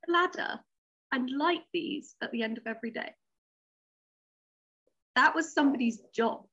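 A woman's voice: high voice, normal speed, sharp tone, husky, muffled recording. A woman talking casually as a writer being interviewed. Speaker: interview guest, science fiction writer.

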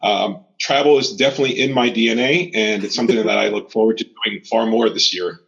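A man from Miami, a guest on a podcast, talking in a relaxed way with lots of um and uh. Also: fairly low pitch, fairly quick, creaky, muffled recording. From Miami, Florida. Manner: relaxed, many fillers. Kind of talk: casual interview.

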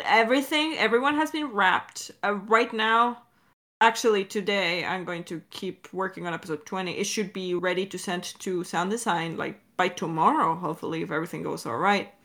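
A woman co-hosting a podcast in a casual Q&A chat, talking in an animated, informal, rambling way. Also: mid-range voice. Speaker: podcast co-host and audio drama director.

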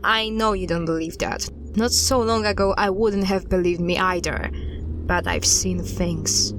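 A woman playing a guarded investigator in an audio drama, recounting an unsettling case in a halting, deliberate way. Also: on the low side, a bit bright, a little hiss or hum. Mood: measured, uneasy. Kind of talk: audio drama dialogue, storytelling.

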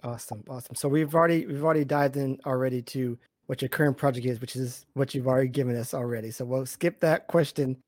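A man hosting an interview, halting and repeating words as he asks questions, laughing along with his guest. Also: medium pitch, fairly even intonation, a little mellow, no echo, clean studio sound. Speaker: podcast host.

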